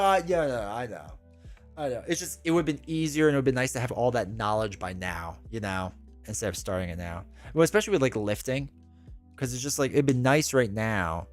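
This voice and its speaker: A man in his thirties hosting a casual livestream, rambling and joking at his own expense with lots of filler words. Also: mid-range voice. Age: 30s. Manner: rambling, self-deprecating, with frequent "like" and restarts. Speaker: livestream host.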